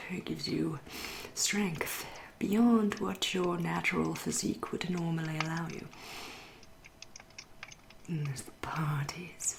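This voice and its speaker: A woman with an English accent playing a seductive, wry, refined female vampire in a soft, whispered ASMR roleplay, speaking slowly and formally. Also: on the low side, slight background noise. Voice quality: soft, breathy, often whispering. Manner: slow, lingering, genteel, archly formal. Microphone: close ASMR microphone.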